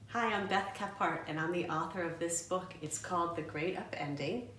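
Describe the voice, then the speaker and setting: A woman's voice: fairly low pitch, normal speed, a bit bright. A woman author presenting her book with a question for the viewer. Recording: echoey room, distant mic, faint noise.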